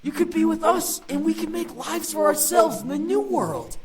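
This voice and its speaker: Very high-pitched, creaky boyish demon prince. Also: male voice, normal speed, far from the mic. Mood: eager pleading. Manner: whiny, eager, childish. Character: excitable baby-faced youngest demon prince, childlike and pleading. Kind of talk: visual novel character acting.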